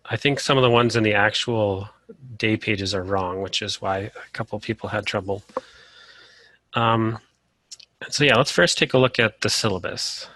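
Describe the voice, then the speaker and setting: Bright-voiced man teaching an online class. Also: on the low side, moderate pace, no echo. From Colorado. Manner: relaxed, frequent "uh" fillers. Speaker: course instructor. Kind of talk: casual online class lecture. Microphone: video call.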